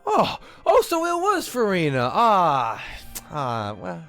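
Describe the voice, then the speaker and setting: A man streaming a game and reacting casually, with drawn-out, incredulous exclamations. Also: high-pitched, wildly swooping pitch. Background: soft game music.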